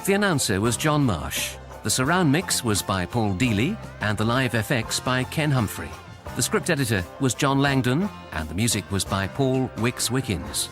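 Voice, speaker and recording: A man with a British accent announcing scripted radio credits in a formal, deadpan manner. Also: medium pitch, normal speed, music in the background.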